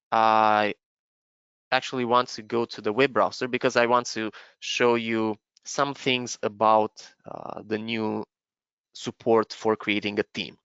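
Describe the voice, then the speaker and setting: Gravelly man demoing software. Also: mid-range voice, no echo, dull audio. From Romania. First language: Romanian. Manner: explanatory, with frequent fillers and restarts. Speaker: software presenter. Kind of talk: live webinar product demo.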